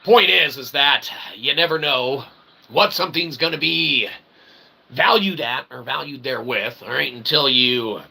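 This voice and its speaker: A man's voice: medium pitch, measured pace, pushed and bright. A man delivering a rambling comedic pseudo-philosophical monologue as a streamer, mixing mock-formal wordy phrasing with crude swearing and short tag questions. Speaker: solo streamer and channel host. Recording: clearly noisy, with ambient background sound.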